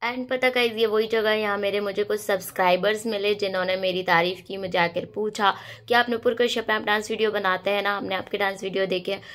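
A young woman, hoarse from a cold, chatting to viewers in a casual vlog voice-over. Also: mid-range voice, fairly even intonation, brisk, hardly any pauses, fairly bright tone. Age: young adult. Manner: chatty, addressing viewers directly. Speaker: vlogger and dance choreographer.

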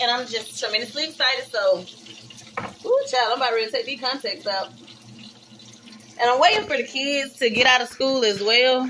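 A woman's voice: a little high, slight background noise, low-quality recording. Chatty Southern woman cooking at home. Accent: Southern American. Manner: chatty and excitable, calls viewers y'all and honey. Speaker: vlogger. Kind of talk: casual cook-along chat. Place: small home kitchen, indoors. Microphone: phone or camera set on the counter, she moves around it.

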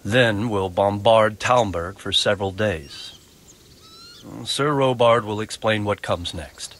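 A man's voice: fairly low pitch, slow, fairly bright tone, slight background noise. A man playing a dignified older medieval lord giving orders in a dramatic game cutscene. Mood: encouraging.

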